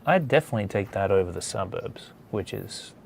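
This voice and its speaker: Casual man with a creaky voice. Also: lowish voice, expressive, fairly quick, slight background noise. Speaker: host of a comics drawing studio session. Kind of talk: casual chat.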